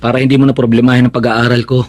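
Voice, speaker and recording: A man's voice: medium pitch, flat delivery, very fast, a little mellow, lightly acted. A man acting a blunt but loving young student brother, speaking emphatically in radio drama style. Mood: earnest, affectionate. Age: young adult.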